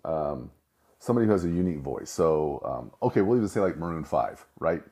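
A middle-aged man with a low voice, talking casually and rambling with restarts. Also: expressive, brisk, dark tone. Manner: rambling, self-correcting storyteller. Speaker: host. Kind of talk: casual monologue.

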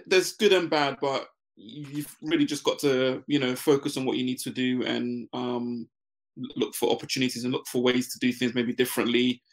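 A man in his forties from Ghana, a business founder talking as a guest in a casual interview, relaxed, laughing often and using many fillers. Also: medium pitch, normal speed, poor audio.

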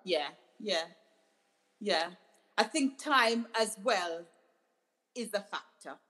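A middle-aged woman from Jamaica speaks as a doctor and author being interviewed. She is earnest and reflective, and she often restarts phrases as she explains things. Her voice sits at an ordinary middle pitch for a woman. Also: lively intonation, takes it slowly, bright, forceful tone. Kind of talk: interview conversation.

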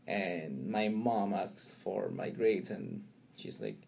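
Low-voiced Peruvian man telling a story. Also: animated, slow pace, gravelly, a little mellow. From Peru. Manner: rambling storyteller with many fillers and restarts, laughs often. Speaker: guest. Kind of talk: casual interview chat.

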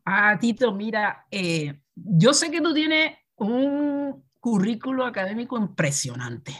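A woman hosting a radio interview, drawing out her questions slowly to invite the guest to open up. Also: fairly high pitch, very animated, fairly bright tone. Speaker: radio host.

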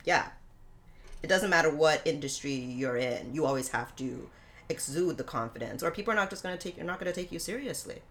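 Deep voiced lady interviewer, casual chat, agrees a lot with little yeahs and absolutelys. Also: lively intonation, brisk, fairly bright tone. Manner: agreeable, frequent short affirmations. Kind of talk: casual chat, supportive listening.

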